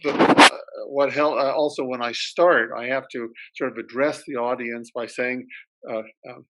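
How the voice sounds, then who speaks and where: A man's voice: medium pitch, fairly even intonation, normal speed, a bit bright, rough recording. A man on a panel who stutters, with blocks and repeated words, telling a story in a good-humored way over a video call. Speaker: professor and panelist. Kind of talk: panel discussion anecdote.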